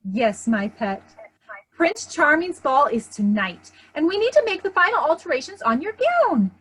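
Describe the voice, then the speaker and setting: A woman's voice: sits high, very animated, normal speed, a bit bright, low-quality recording. A woman playing a haughty, imperious stepmother who scolds and coos in a children's theatre staged reading. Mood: doting, fussy. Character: haughty, bossy stepmother, doting on her favourite daughter.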